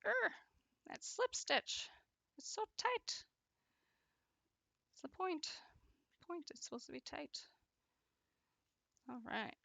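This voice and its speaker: A woman's voice: extremely high pitch, sing-song, slow, vocal fry, pauses often, pushed and bright, no echo. A young woman streaming her crochet work, chatting casually with viewers and laughing often. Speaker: craft streamer. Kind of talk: casual chat while crocheting and reading chat.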